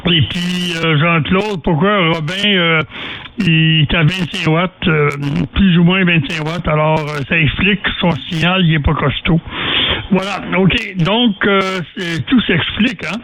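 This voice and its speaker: A man's voice: fairly high pitch, a little slow, a crowd in the background, poor audio. A man with a Quebec French accent chatting casually as a radio operator, rambling in a folksy way and repeating himself. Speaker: amateur radio operator leading a group contact.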